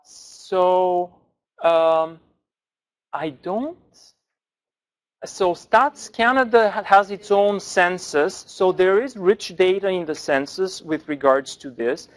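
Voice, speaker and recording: A man, a language researcher, answering questions at an academic forum in a thoughtful way with frequent pauses and restarts. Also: high voice. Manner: thoughtful, many fillers and restarts.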